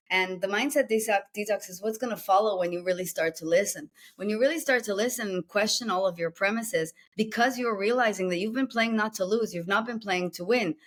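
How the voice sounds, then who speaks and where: A woman with an Israeli accent, a coach being interviewed, explaining her method with rhetorical questions and listed steps. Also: medium pitch. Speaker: guest executive coach.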